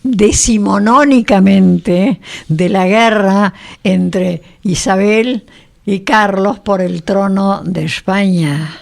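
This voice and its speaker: A woman's voice: mid-range voice, takes it slowly, creaky. An older woman from Argentina with a Buenos Aires accent, hosting a casual radio conversation and teasing her guest. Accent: Buenos Aires Spanish. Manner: teasing, talks over guest. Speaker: radio host.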